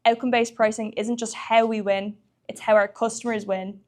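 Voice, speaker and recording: A woman's voice: on the high side, level tone, normal speed, darkish tone. A woman speaking as a company executive, giving a presentation at a tech meetup.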